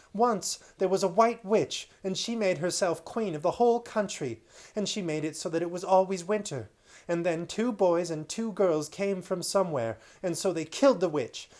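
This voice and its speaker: Man doing an excited young boy prince. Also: lightly acted. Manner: breathless, gushing, high and bright.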